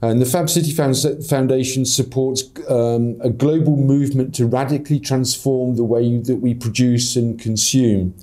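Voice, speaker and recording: A man's voice: medium pitch, fairly even intonation, normal speed, few pauses, dark tone. A man hosting a podcast interview, chatting in a friendly way and encouraging his guest. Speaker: podcast host.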